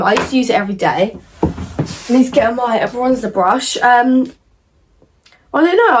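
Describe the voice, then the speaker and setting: A young woman, croaky from a cold, chatting casually through a makeup tutorial with lots of "like" and "um". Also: fairly high pitch, a little hiss or hum. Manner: chatty, rambling, many fillers like "like" and "um". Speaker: beauty vlogger. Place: at home in front of a mirror.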